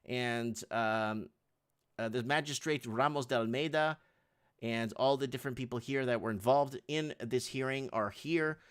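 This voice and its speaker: A man's voice: medium pitch, moderate pace, fairly bright tone, smooth. A man hosting a casual live-stream talk show, reading headlines aloud and chatting with a guest, whose first language is Portuguese.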